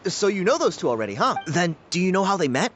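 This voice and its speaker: A man's voice: high-pitched, quick pace. An actor playing a friendly, laid-back young tribesman in a video game, talking in an easygoing, chatty way. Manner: easygoing, talkative, animated. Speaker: game character. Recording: game audio with loud music.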